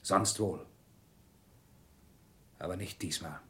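A man's voice: sits low, slow pace, bright, forceful tone, full character voice. An actor playing a bitter, weary, impoverished craftsman husband in a German radio play, terse, subdued and reproachful.

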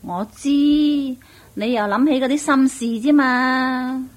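A doting, fussy woman in a radio play. Her voice is high-pitched. She cajoles and nags, tender one moment and easily exasperated the next. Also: slow. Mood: nagging, exasperated. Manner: cajoling, fussing, nagging. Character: coaxing, doting, easily exasperated female companion. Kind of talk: Cantonese radio drama acting.

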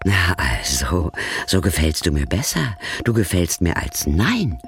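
A man's voice: sits low, huge pitch swings, unhurried, soft background music, heavily acted. An actor playing a scornful, commanding male critic who taunts bluntly in a radio drama. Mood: mocking. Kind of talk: scripted radio drama dialogue.

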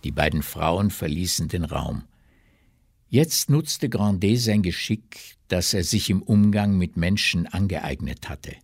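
A man's voice: low-pitched, expressive, unhurried, creaky, husky, no room sound. A man narrating a literary radio drama in a scripted storytelling style.

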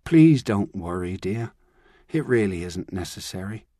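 A man reading an audiobook, voicing a calm, composed older woman and grandmother who talks in a measured, reassuring way. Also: low-pitched, no echo, clean studio sound.

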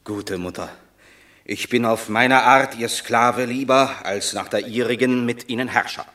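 An actor playing a proud Roman war hero in a radio play, speaking verse tersely. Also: male voice, medium pitch, unhurried, pushed and bright. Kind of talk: classic radio play acting.

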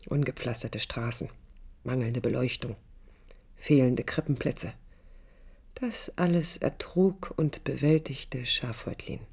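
An actor playing a reflective, ironic storyteller in a literary radio drama, measured and emphatic. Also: male voice, mid-range voice, breathy edge. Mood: defensive, ironic. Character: reflective, ironic first-person storyteller looking back.

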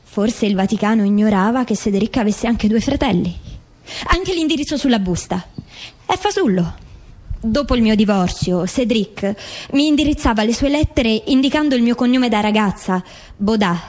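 An actress playing a mother in a documentary stage drama, methodically setting out doubts in a measured, deliberate way. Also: on the high side, lively intonation, darkish tone. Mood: firm, doubting. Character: grieving mother methodically doubting her son's farewell letter. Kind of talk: scripted theatre dialogue, documentary drama.

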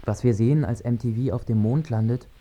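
A man's voice: mid-range voice, brisk, mellow, slight background noise, close to an everyday voice. An actor playing a male narrator who methodically recites descriptions in a scripted radio play.

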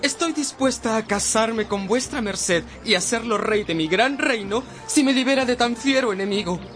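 A man's voice: very high-pitched, moderate pace, fairly bright tone. A theatrical, womanly voice as a false damsel in a radio play. The princess sounds pleading and affected, with faint music underneath. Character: priest posing as a wronged princess, pleading, falsely feminine. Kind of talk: Spanish-language radio drama acting.

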